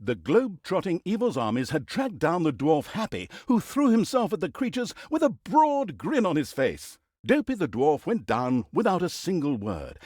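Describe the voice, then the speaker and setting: A theatrical male storybook narrator for a fantasy video game, sardonic and mock-grand. His voice sits a little high for a man and swoops dramatically across a very wide pitch range. The sound is close and dry. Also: a little mellow, slightly rough, no room sound, exaggerated voice. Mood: mock-grand, gloating. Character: wry, theatrical male storybook narrator mocking heroes and villains.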